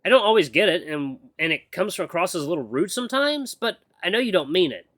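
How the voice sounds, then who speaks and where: A man plays a young, sensitive apprentice runner in an audio story. The voice is high and very animated, stammering and expressive, quick to tip into sweary exasperation. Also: no room sound, studio quality, exaggerated voice. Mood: gentle, earnest.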